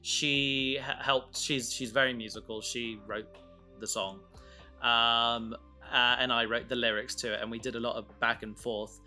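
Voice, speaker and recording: A man's voice: mid-range voice, steady tone, moderate pace, pushed and bright, faint music behind. A man chatting casually as a podcast host about his creative work, rambling with enthusiasm and often trailing off mid-thought. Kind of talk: casual chat about making an audio drama.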